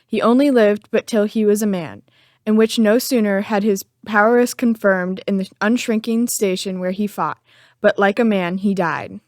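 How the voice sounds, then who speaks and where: A woman's voice: mid-range voice, steady tone, moderate pace, a little mellow, no room sound, studio quality, close to an everyday voice. A woman playing a solemn, respectful thane in an amateur Shakespearean dramatic reading. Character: solemn, respectful thane bearing news of death. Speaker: amateur reader in library staff dramatic reading.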